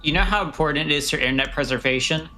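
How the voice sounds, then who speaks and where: A man's voice: a little high, very fast, pushed and bright, soft background music. A man chatting casually as a livestream guest, with a dry, wry, rambling way of bantering.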